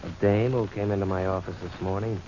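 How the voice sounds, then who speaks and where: A man's voice: deep voice, little pitch movement, a little fast, warm tone, lightly acted. An actor playing a cocky, hard-boiled male private detective, smug and wisecracking, in 1940s radio drama style. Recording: muffled, noisy 1940s radio recording.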